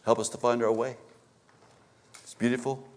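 A man preaching a sermon at a church service, swinging from emphatic exhortation to low, stammered asides. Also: little pitch movement, moderate pace, darkish tone. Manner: rises to emphatic exhortation, then drops low, with stammered repetitions.

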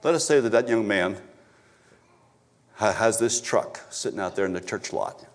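Stammering, rousing male preacher. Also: normal speed. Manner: rises to emphatic exhortation, then drops low, with stammered repetitions. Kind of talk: sermon. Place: church service.

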